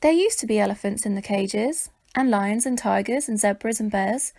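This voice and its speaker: A woman voicing a brisk, bossy, teasing circus man in a children's storybook. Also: mid-range voice, darkish tone, no room sound, clean studio sound, close to an everyday voice.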